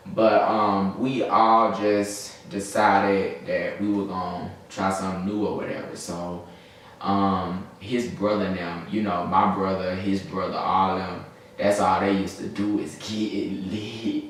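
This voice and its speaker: A man's voice: deep voice, flat delivery, unhurried, fairly bright tone. A young man with a Southern US accent chats casually to camera as a vlogger, in a slangy, rambling, easily distracted way. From Birmingham, Alabama, United States. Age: young adult. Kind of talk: casual story-time chat to camera. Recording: very echoey room, distant mic, faint noise.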